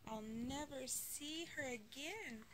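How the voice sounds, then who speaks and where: A woman voicing an earnest male bird, a devoted husband in a children's storybook, with simple expressive read-aloud delivery. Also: high-pitched, takes it slowly, voice pushed up. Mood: worried, sad. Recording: noisy home recording with clicks.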